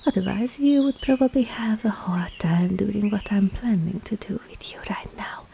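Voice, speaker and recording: A woman playing a scheming, seductive witch in a whispered ASMR roleplay, breathy and teasing, with falsely soothing reassurance. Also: mid-range voice, warm tone, exaggerated voice. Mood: sly, teasing.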